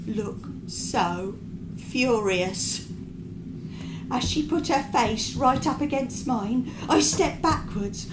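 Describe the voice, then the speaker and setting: A woman reading a comic monologue live as a naive, chatty narrator, confiding and dropping deadpan asides. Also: sits high. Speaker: writer reading her own comic short story. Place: indoor performance venue. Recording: echoey live venue recording with background noise.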